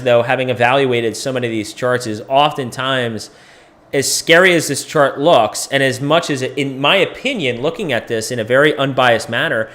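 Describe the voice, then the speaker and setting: Hoarse man walking through price charts. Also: mid-range voice, a bit bright. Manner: casual, thinks aloud, self-correcting. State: losing his voice, clearing throat. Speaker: crypto chart analyst host. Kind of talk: live chart analysis stream. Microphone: desk microphone.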